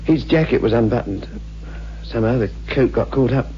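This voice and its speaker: An actor playing a grave, apologetic official in a 1960s radio drama, formal and understated. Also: male voice, mid-range voice, normal speed, slight background noise, lightly acted. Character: grave, apologetic bearer of bad news. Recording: dull old radio recording, faint music.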